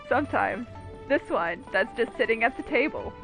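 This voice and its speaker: A woman's voice: a little high, animated, moderate pace, creaky. A woman giving casual, informal, reactive commentary over a game playthrough. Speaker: gaming video commentator. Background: game music.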